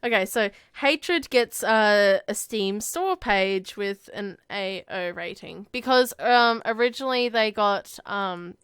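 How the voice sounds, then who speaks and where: A woman chatting on a casual gaming podcast, her voice smooth and clear with a mid pitch. She laughs easily and fills her sentences with "like". The sound is dry and close. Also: slow pace, hardly any pauses, fairly bright tone, no room sound. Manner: giggly, chatty, lots of "like". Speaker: podcast co-host. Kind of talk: casual chat.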